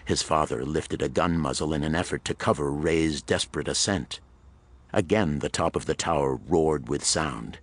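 A man narrating a pulp thriller audiobook in a dramatic, suspenseful storytelling manner. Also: very deep voice.

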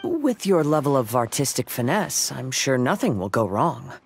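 An actor playing a calm, polite young male samurai wanderer in a video game, courteous and measured. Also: medium pitch, creaky, a little hiss or hum.

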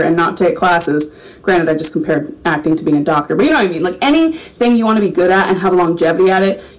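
A woman's voice: mid-range voice, poor audio. Chatty woman rambling on a livestream. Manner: rambling, chatty, laughs between phrases. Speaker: voice actor answering fan questions. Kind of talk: casual livestream Q&A. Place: small home room with a framed picture behind.

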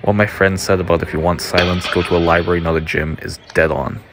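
A man's voice: low-pitched, level tone, fast, music in the background. A man narrating over a gym clip, firm and matter-of-fact. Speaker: gym goer explaining clip.

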